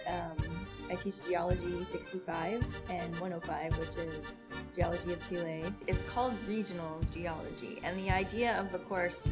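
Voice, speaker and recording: Brief: woman academic, calm interview explanation, low voice, heavy music bed. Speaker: geology professor. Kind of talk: documentary interview. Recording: loud music underneath.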